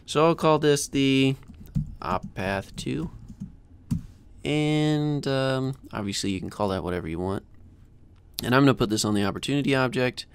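An American man in his thirties hosting a casual software screencast tutorial in an informal, chatty way, close to a desk mic. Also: mid-range voice, moderate pace, long pauses, clear, resonant tone. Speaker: tutorial host. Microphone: close desk microphone.

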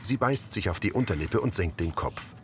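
A man's voice: sits low, lively intonation, moderate pace. A man reading scripted audio description narration for a television drama.

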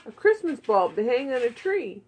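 Husky, higher-pitched woman, encouraging. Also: big pitch swings, moderate pace, a little mellow, rough recording. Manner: encouraging, simple short questions, as to a child. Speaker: puppeteer in a home puppet show. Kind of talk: casual encouraging chat with a puppet partner. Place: living room beside a Christmas tree at home. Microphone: phone camera a short way off.

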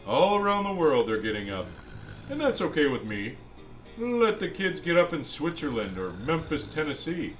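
A man's voice: a little high, very animated, normal speed, low-quality recording. A man voicing a jolly old Santa Claus who reads a picture book to kids in a chatty, exclaiming way. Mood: playful storytelling. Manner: exclaiming, chatty asides between lines. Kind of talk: animated storybook read-aloud. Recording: music behind the voice.

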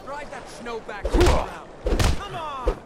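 An actor voicing a fierce, impatient fight trainer in a video game, goading with shouted commands. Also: male voice, very high voice, sing-song, unhurried, very noisy, full character voice. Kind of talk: video game combat barks.

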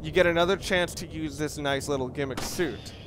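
A man's voice: on the high side, a little fast. Rambling man commentating over noisy gameplay. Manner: rambling, repeats and rephrases himself. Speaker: Let's Play commentator. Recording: noisy, game sounds behind the voice. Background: video game ambience.

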